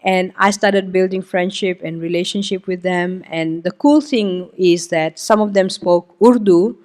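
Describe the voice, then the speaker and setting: A woman's voice: on the low side, unhurried, darkish tone. A woman whose mother tongue is Hindi gives a missionary testimony at a church service. Her talk is loose and conversational, with plenty of "um"s and "uh"s. She stops now and then to point things out on a map for the congregation. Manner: informal, explains while pointing at a map, frequent "um" and "uh". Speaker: missionary guest speaker.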